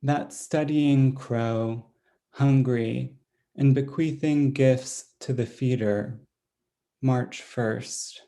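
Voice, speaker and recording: Slow, gravelly man reading poetry. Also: mid-range voice. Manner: deliberate, dated entries read flatly. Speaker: poet reading aloud.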